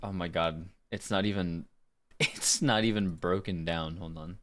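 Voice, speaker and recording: A man's voice: low-pitched, steady tone, unhurried, a bit bright. The guy you'd hear on a voice acting livestream, chatting with viewers and half talking to himself as he works. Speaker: live streamer, voice actor. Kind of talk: casual livestream chat.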